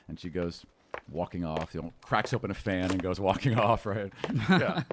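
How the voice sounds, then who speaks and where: A man's voice: deep voice, normal speed, noisy background. A middle-aged man narrating a tabletop game casually as game master, close to the microphone. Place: small indoor studio room. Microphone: close desk microphone on stand.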